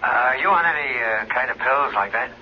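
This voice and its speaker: A man acting a police detective in an old radio play, talking quickly and matter-of-factly. He is polite but skeptical, and the sound is muffled with some hiss. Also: mid-range voice, natural voice. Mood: skeptical, placating. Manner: matter-of-fact, hesitant, placating. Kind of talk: 1970s radio drama acting.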